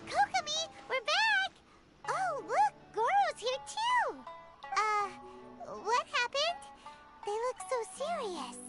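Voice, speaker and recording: A woman voicing a tiny, childlike fairy companion in a video game, chatty, squeaky, excitable and easily spooked. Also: slow pace, full character voice. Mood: excited. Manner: squeaky, exclamatory, speaks of herself in third person. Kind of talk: video game character dialogue. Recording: loud game music behind voice. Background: loud game music.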